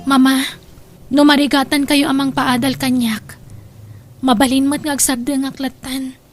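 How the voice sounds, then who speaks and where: A woman plays a hurt, resentful daughter in a radio drama. Her voice is high, and she speaks with plaintive emphasis. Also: little pitch movement, moderate pace, faint music behind, lightly acted. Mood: hurt, bitter. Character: hurt, resentful young daughter of an abandoned mother. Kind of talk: Filipino radio drama acting.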